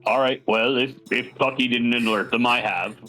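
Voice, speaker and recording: A man playing a tabletop game, speaking in a deliberate, measured way. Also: medium pitch, fairly even intonation, bright, forceful tone, soft background music. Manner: slow, deliberate, counts aloud. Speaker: player. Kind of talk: casual tabletop play.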